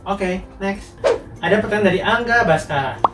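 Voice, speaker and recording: A man's voice: high-pitched, lively intonation, normal speed. Stuffy-nosed young man explaining building materials. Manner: slangy, explanatory, reads out viewer questions. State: congested nasal voice from a cold. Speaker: contractor answering viewer questions. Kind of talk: casual Q&A monologue. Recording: roomy, mic at a distance, faint music.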